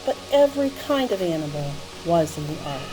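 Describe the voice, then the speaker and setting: A woman telling a Bible story to young children, explaining in simple phrases with dramatic pauses and emphasis. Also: on the low side, sing-song, music in the background, low-quality recording.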